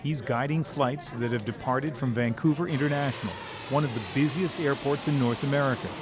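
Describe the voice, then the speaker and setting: A man's voice: mid-range voice, steady tone, a little fast, noisy background. A man with a Canadian accent working as a TV news reporter, delivering scripted narration and interview questions.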